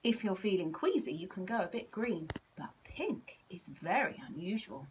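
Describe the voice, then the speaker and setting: A woman voicing a puzzled female penguin doctor in a storybook, explaining in a measured, matter-of-fact way. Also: medium pitch, sing-song, a little hiss or hum, lightly acted, rough recording. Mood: pondering.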